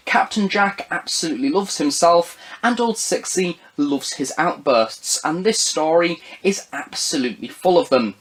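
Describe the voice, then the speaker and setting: A young adult man presenting a review, moving between chatty remarks and scripted narration. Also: on the high side. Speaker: audio drama reviewer.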